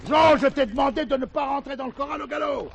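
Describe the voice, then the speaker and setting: A man acting a gruff, domineering small-town sheriff in a French stage play, scolding and emphatic. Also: very high voice, quick pace, echoey room, lo-fi sound. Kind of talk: French boulevard theatre acting. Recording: noisy old theatre play recording.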